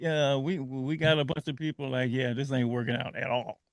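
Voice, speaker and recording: A man's voice: medium pitch, little pitch movement, moderate pace, dry recording. A man hosting a talk radio show in a casual style, laughing often, repeating words and using street slang.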